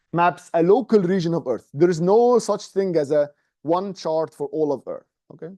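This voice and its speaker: A man's voice: fairly high pitch, mellow, no room sound, studio quality. A man in his thirties with an Egyptian accent giving an informal, explanatory classroom math lecture, often checking in with short tag questions. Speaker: university lecturer. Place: medium-sized indoor classroom, standing at a presentation screen.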